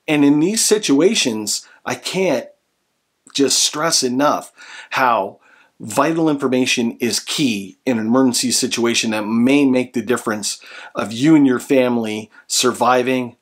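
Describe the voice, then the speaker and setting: A man's voice: mid-range voice, measured pace. American man presenting a gear review. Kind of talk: explanatory product review to camera. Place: small room indoors at home.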